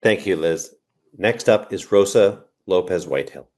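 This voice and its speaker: A man's voice: low-pitched, lively intonation, normal speed, a little mellow. A man moderating a meeting, making brief formal announcements to introduce speakers.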